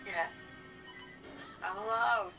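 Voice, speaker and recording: A woman's voice: medium pitch, fairly even intonation, extremely slow, lo-fi sound. A woman chatting casually and bluntly as co-host of a gaming session, swearing now and then. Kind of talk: casual chat during a video game session. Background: video game music.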